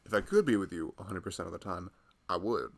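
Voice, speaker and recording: A man playing a loving, protective husband in an intimate ASMR roleplay, soft-spoken and chatting in a rambling, casual way. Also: low-pitched, fast, creaky. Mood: tender, concerned.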